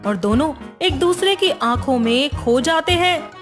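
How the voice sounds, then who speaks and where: A woman narrates a scripted story at a slow, measured pace. Her voice is slightly high, with soft background music under the telling. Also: animated.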